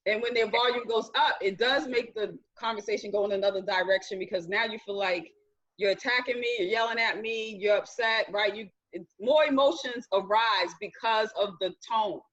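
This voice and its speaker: A woman's voice: mid-range voice, moderate pace, fairly bright tone, lo-fi sound. A woman hosting a discussion with married couples, speaking earnestly and guiding them with questions. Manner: earnest, reflective, leads with questions. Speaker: host.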